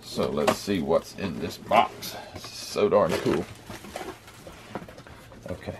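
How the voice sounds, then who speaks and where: A man hosting his model car channel, chatting casually while unboxing a parcel, his voice croaky from the morning, with lots of restarts. He talks indoors into a camera set a little way back from him. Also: sits low, takes it slowly, noisy background. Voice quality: rambling, restarts often. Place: indoor room with camera on a table. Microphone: camera mic set back from him.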